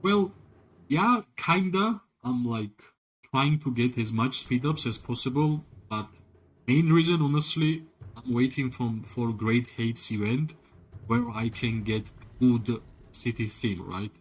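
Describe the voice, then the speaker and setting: A man's voice: mid-range voice, slow pace, husky, rough recording. A man streaming a video game, giving rambling, casual commentary and talking directly to his chat, often filling with "like" and tagging sentences with "right?". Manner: rambling, leans on "like" and tag questions "right?"; talks to chat viewers directly. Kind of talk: casual live-stream commentary while playing.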